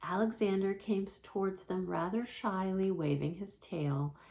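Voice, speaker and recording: A woman reads a children's storybook aloud. She has a gentle storytelling manner, like a librarian at story time, and her voice sits at a medium pitch for a woman. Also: measured pace.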